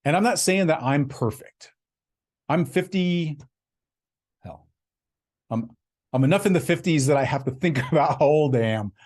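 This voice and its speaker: A man's voice: on the high side, animated, moderate pace, pauses often, a little mellow. A man in his fifties hosting a podcast, delivering a blunt, emphatic motivational monologue. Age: 50s. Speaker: podcast host.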